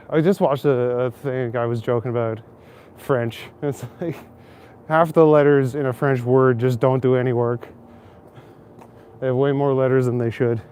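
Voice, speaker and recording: A man's voice: mid-range voice, darkish tone, a little hiss or hum. A man with an Ontario Canadian accent chatting casually with his stream while walking outdoors, rambling and joking with frequent chuckles. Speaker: streamer talking to chat. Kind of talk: casual walking livestream chat. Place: outdoor city square in winter.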